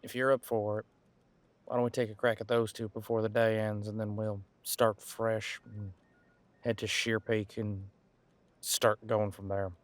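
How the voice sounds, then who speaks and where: A man playing a centuries-old, easygoing detective in an audio roleplay, talking casually and folksily as he muses aloud with pauses. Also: on the low side, flat delivery, no room sound, natural voice. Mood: brisk, planning. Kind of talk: detective roleplay audio, one side of a conversation.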